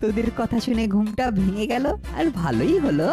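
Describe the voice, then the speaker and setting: A woman's voice: medium pitch, lively intonation, fairly quick, music in the background, close to an everyday voice. A woman voicing a confident, bossy older boy who leads young ghost hunters in a cartoon, speaking expressively. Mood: assertive. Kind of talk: cartoon dialogue.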